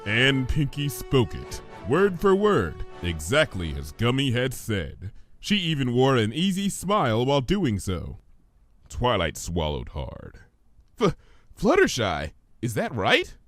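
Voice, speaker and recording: A man's voice: mid-range voice, wildly swooping pitch, measured pace, soft background music. A man reading a scripted story aloud as narrator. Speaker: fan fiction reader, narrator.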